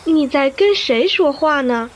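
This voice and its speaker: A woman's voice: high voice, expressive, a little slow, slight background noise. A woman playing a fussy, caring young princess and elder sister in a Chinese audio drama. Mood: worried scolding.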